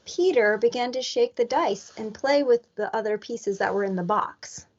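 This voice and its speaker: A woman reading a children's storybook aloud with deliberate, expressive delivery. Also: on the high side. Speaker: elementary school staff member reading aloud. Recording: dull lo-fi recording.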